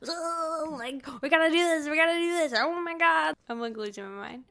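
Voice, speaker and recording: A woman's voice: high voice, moderate pace, vocal fry, sharp tone. An American woman co-hosting a book podcast, talking informally and full of exclamations. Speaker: podcast co-host. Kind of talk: casual book-club podcast chat.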